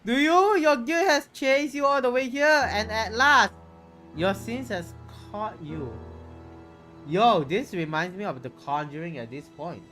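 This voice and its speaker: A man's voice: high voice, expressive, measured pace, bright, forceful tone, soft background music. A man reading a visual novel aloud in a theatrical, playful way, with casual reactions in between. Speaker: streamer.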